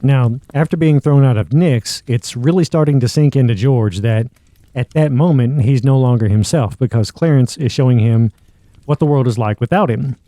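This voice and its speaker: Mellow man hosting a podcast. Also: mid-range voice, normal speed, no room sound. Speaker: podcast host. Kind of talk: commentary linking radio drama clips.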